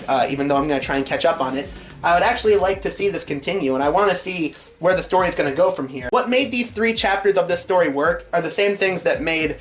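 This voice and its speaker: A man's voice: on the high side, expressive, quick pace. A man reading fan fiction aloud and commenting on it as the show's host.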